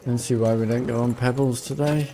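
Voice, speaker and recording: A man's voice: medium pitch, steady tone, brisk, over music. A man giving casual playthrough commentary on a video game, thinking aloud and muttering to himself as he plays. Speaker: streamer playing a video game.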